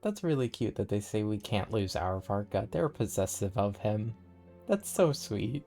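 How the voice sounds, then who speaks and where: A man's voice: fairly low pitch, big pitch swings, moderate pace, creaky, warm tone, faint music behind. A man giving casual, chatty live gameplay commentary as a streamer, reacting aloud to the game.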